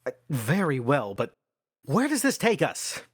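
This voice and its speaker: A man playing a slow, exasperated theater manager. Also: fairly high pitch, huge pitch swings, breathy edge, no room sound, studio quality, exaggerated voice. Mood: puzzled. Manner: brusque, exasperated. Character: impatient, skeptical theater company manager. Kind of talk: stage play acting for radio.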